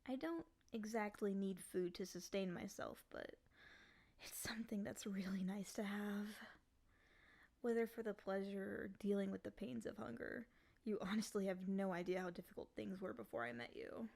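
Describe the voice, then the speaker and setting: A woman voicing a lonely, clingy female demon in a soft, often whispery voice, coaxing and pleading in an intimate ASMR roleplay. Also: medium pitch, moderate pace, gravelly. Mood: grateful.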